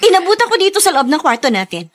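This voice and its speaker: An actress playing an anguished, suspicious young wife in a melodramatic Filipino radio drama, with a tearful, emphatic manner. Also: female voice, extremely high pitch, big pitch swings, quick pace. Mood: suspicious confrontation.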